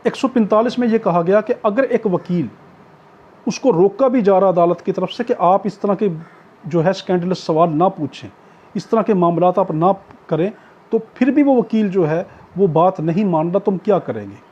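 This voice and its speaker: A man with a Pakistani accent lectures in a high, mellow voice with a husky edge. He explains like a teacher, going back over each point in new words. The recording is dull and lo-fi. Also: moderate pace. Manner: didactic, repeats and rephrases points. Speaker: law lecturer. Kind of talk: explanatory law lecture, reading out provisions then paraphrasing.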